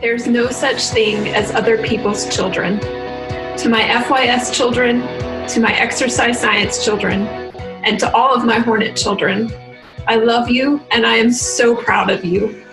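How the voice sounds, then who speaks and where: Woman, fairly high voice, warm congratulatory message, roomy echo. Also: steady tone, measured pace. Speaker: college instructor. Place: indoor living room. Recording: echoey room, music over it.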